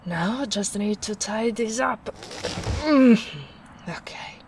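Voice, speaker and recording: A big, kindly ogre woman acted by a female performer speaks softly and very slowly in an ASMR roleplay. Her voice sits a little low, and she sounds caring and plain-spoken as she muses. A light bed of ambient noise runs behind her. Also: poor audio. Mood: content, musing. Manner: unhurried, musing, soft-spoken.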